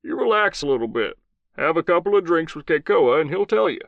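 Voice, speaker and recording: A man voicing an older male commander, a gruff old cowboy with a thick Southern drawl who swears freely. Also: on the high side, big pitch swings, a little fast, no echo, dull audio, pitched above the performer's own voice. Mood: gruff consoling.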